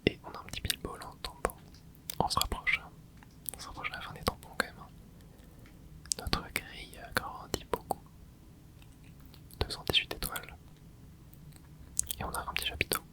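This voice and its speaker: A man's voice: moderate pace, pauses often. Whispering man doing gameplay commentary. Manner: soft whispering with little interjections while playing; chatty, explains the game to viewers. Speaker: ASMR gaming channel host.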